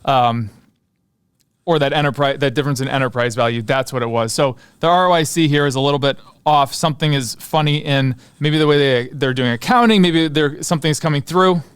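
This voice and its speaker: Clear-voiced man, casual stock commentary. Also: on the high side, fairly quick, fairly bright tone, no echo, clean studio sound. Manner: informal, thinking aloud, muttering asides to himself. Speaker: host of a stock analysis show.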